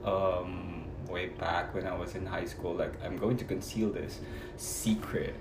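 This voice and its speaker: A man's voice: low-pitched, fairly even intonation, unhurried, echoey room, background noise. A man from the Philippines with a Filipino accent, speaking hesitantly with many fillers as an interview guest. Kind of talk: casual personal conversation.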